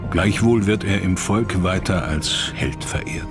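A man narrating a scripted history documentary in a measured, dramatic storytelling manner. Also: low voice. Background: music.

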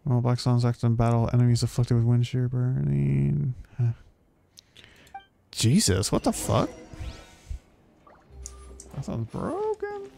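Gravelly man casually streaming a game. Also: medium pitch, sing-song, measured pace, pauses often, dark tone. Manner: mutters reactions, swears casually. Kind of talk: casual livestream chat, reading chat aloud. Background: video game music and sounds.

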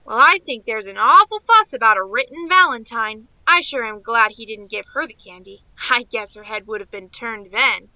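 A woman's voice: a little high, lively intonation, moderate pace, few pauses, sharp tone, no room sound, full character voice, low-quality recording. A woman playing a guileless, chatty young niece in a radio play, matter-of-fact and childlike. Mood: unimpressed.

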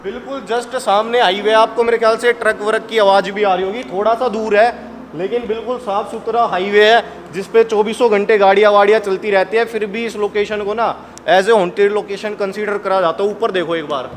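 A man's voice: high-pitched, steady tone, moderate pace. A man, chatting casually to camera as a vlog host, explaining things step by step in colloquial speech. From India. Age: 20s. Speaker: host of a haunted-location exploration vlog. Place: large derelict factory and overgrown grounds at night. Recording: echoey derelict building with clear background noise.

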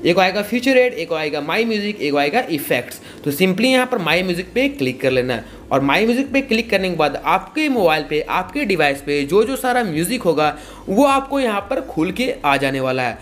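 A young man hosts a casual tutorial. His pitch moves up and down in a lively way as he explains each step, often repeating himself. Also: normal speed, hardly any pauses, a little hiss or hum. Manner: repetitive, explanatory. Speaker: tutorial host.

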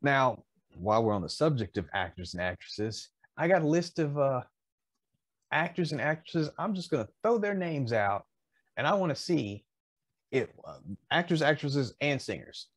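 A man in his fifties with a mid-pitched voice, chatting casually on a podcast. He pauses often while working through his thoughts. The sound is dry, with hardly any room on it. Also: expressive, dry recording. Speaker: podcast co-host. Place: indoors in a home collectibles room.

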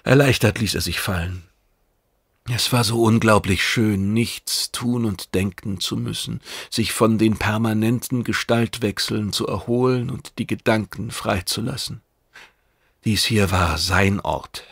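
Gravelly low-voiced male audiobook narrator. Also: a little slow, no room sound, clean studio sound. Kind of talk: dramatic scripted narration of science fiction.

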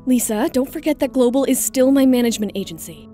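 Calm loyal young woman, voiced by a female narrator, high mellow voice, gentle, composed, audio drama, faint music. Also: fast talker.

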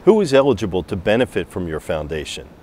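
A man's voice: low voice, sing-song, fast, a little mellow, a little hiss or hum. A middle-aged man hosting a broadcast interview with polished, brief questions on a handheld mic in a large convention hall. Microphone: handheld branded mic.

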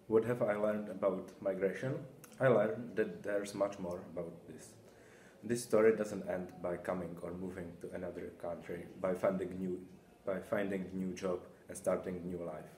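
Deep-voiced young man in echoey office. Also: fairly even intonation, moderate pace, a little mellow. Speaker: exchange student. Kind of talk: testimonial to camera. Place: small indoor office. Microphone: camera a short way off. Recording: echoey office with faint noise.

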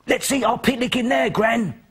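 A man voicing a wide-eyed, excitable little boy in a children's story, full of breathless wonder. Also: very high voice, normal speed, slightly rough, voice pushed up, heavily acted. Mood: excited wonder.

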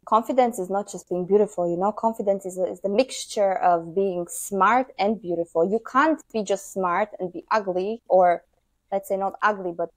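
A woman's voice: medium pitch, moderate pace, no echo. A woman in her thirties with a Romanian accent, a guest dermatologist talking emphatically with many fillers on a video call. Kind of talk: casual interview chat. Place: indoor room with sofa. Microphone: lapel mic on remote video call.

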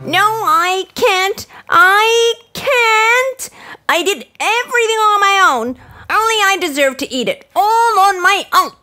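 Squeaky, bright, eager little cartoon hen. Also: female voice, expressive, slow, soft background music, heavily acted. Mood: whiny, indignant. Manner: exclamatory, insistent. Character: small, eager, hard-working female red hen. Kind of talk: children's cartoon story acting.

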